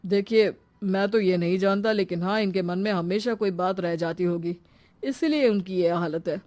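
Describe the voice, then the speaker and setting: Woman playing a calm, grave doctor. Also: mid-range voice, moderate pace, voice pushed down, lightly acted.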